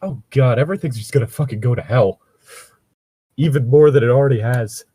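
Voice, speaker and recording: A man's voice: medium pitch, fairly quick, mellow, slightly rough, dry recording. A man chatting casually as a co-host, rambling through commentary and swearing freely.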